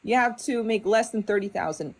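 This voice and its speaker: A woman's voice: medium pitch, fairly quick, breathy edge. A woman in her thirties who grew up in Denmark, calling into a live stream and ranting with emphatic, fed-up bursts. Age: 30s. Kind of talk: impassioned rant.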